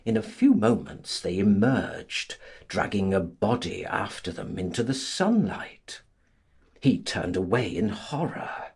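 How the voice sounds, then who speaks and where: A man narrating a literary audiobook in a theatrical, deliberate, scripted style, with a husky voice. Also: deep voice, animated, darkish tone.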